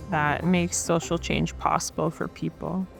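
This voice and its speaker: A woman artist speaking calmly and reflectively in a documentary interview. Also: low-pitched, a little slow, vocal fry, soft background music.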